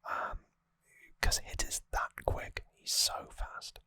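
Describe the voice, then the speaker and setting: An ASMR host whispering an explanatory recap in a hushed, unhurried manner, close to the microphone. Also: no room sound.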